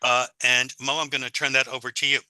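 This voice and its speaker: A man hosting an online teacher-development panel, talking casually over a video call with many pauses and fillers. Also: mid-range voice, flat delivery, pushed and bright, clean tone, dry recording, poor audio.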